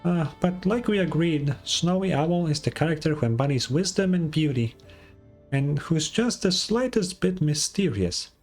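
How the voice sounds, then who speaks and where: A woman voicing a perky, bossy little fairy companion in an anime game, squeaky and exclamatory. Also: animated, moderate pace, darkish tone, faint music behind. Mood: playful, proud. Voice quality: squeaky, childlike. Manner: refers to self in third person, exclamatory. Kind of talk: anime video game voice acting.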